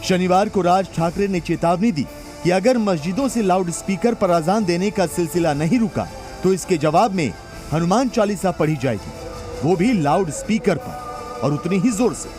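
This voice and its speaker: A man's voice: high-pitched, expressive, moderate pace, over music. A man reading scripted news narration as a reporter, with a dramatic, emphatic delivery.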